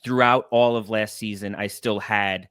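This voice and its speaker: A man in his thirties hosting a casual sports interview, speaking haltingly and often repeating words as he goes. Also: on the low side, fairly even intonation, fairly bright tone, clear, resonant tone, no room sound, clean studio sound. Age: 30s. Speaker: podcast host, interviewer.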